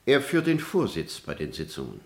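An actor playing a formal, officious board member running a meeting ceremoniously in a German radio play. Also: male voice, deep voice, wildly swooping pitch, moderate pace, exaggerated voice. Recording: roomy studio echo.

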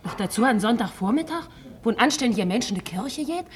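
An actress playing a sassy, quick-witted streetwalker with a Berlin accent in a 1950s German radio drama. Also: female voice, fairly high pitch, expressive, close to an everyday voice. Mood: brazen. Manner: pert, quick-witted, slangy. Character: sassy brazen Berlin streetwalker, woman, teasing and tough.

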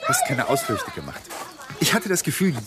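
An actor playing a bitter, defiant accused lawyer in a German radio crime drama, brooding and aggrieved. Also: male voice, high-pitched, wildly swooping pitch, moderate pace, heavy background noise. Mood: earnest, wounded. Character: accused lawyer, bitter, defiant, isolated man protesting innocence.

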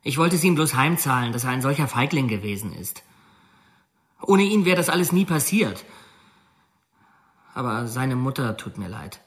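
A man's voice: mid-range voice, animated, normal speed, pauses often, natural voice. A remorseful young prisoner voiced by a man makes a confession in a radio drama. His voice sits in a middle register. He sounds earnest as he explains himself. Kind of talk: documentary radio drama monologue.

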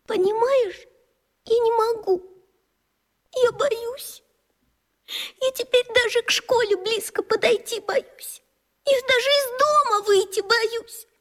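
A woman acting a timid, anxious schoolgirl in a children's radio play, speaking in a plaintive, halting way. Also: very high voice, a bit bright, long reverb tail, heavily acted. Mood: fearful, ashamed.

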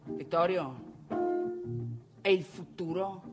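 A woman's voice: on the low side, takes it slowly, creaky, over loud music. A woman playing a crude, boastful male travelling salesman, in a confrontational, colloquial theatrical monologue. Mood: wistful, blunt. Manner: colloquial, confrontational, addressing the audience with rhetorical questions.

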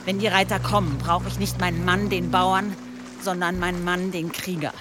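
Actress, warrior wife, fierce, blunt, commanding, radio drama, music underneath. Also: female voice, on the low side, fairly even intonation, normal speed, close to an everyday voice. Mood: urgent, demanding.

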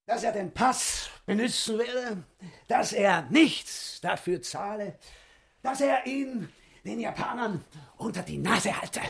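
An actor playing a vain, drunken tavern forger in a radio play, slurring and babbling pompously. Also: male voice, sits high, animated, slow pace, vocal fry, heavily acted. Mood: drunken pompous demanding. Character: drunk, vain tavern passport forger who fancies himself a great painter.

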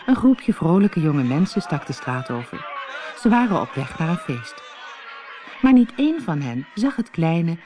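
An audiobook narrator reading a children's fairy tale in an expressive, clearly paced storytelling manner. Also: mid-range voice, a little hiss or hum.

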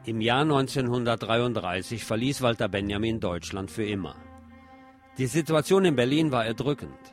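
A man's voice: medium pitch, animated, moderate pace, soft background music. A man reading scripted biographical narration as a radio narrator.

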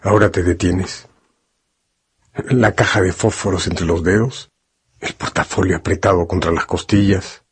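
A man's voice: deep voice, steady tone, measured pace, pauses often, slightly rough. A man delivering dramatic scripted narration for a radio drama, addressing the listener directly. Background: faint music.

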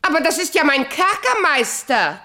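A woman's voice: sits high, sing-song, moderate pace, pushed and bright, exaggerated voice. An actress playing a vain, murderous, imperious queen in a radio play, theatrical, commanding and volatile in manner. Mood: imperious, angry.

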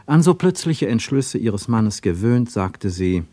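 Male narrator for literary radio drama: dark, relaxed tone, deliberate phrasing, dry studio sound. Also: fairly low pitch, no echo.

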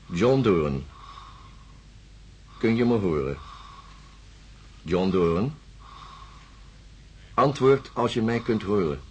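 An actor playing a measured, coaxing doctor in a radio drama, speaking in a deliberate, controlled way. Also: male voice, lowish voice, animated, slightly rough, exaggerated voice. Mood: slow, coaxing. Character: measured, coaxing male doctor conducting hypnosis. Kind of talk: radio drama narration and dialogue.

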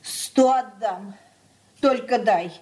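An actress in a Soviet radio drama playing a worried, soft-hearted mother of a merchant household, sighing and fretful. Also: mid-range voice, extremely slow, breathy edge, low-quality recording. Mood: coaxing.

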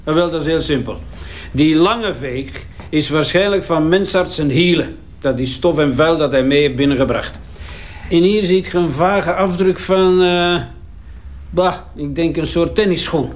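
Sardonic Flemish forensics man, played by an actor, higher-pitched. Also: a little slow, lightly acted. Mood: busy, matter-of-fact. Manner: wry, bantering, hesitating as he examines. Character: talkative, sardonic male crime-scene forensic investigator. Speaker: forensic investigator. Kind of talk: naturalistic audio crime drama acting.

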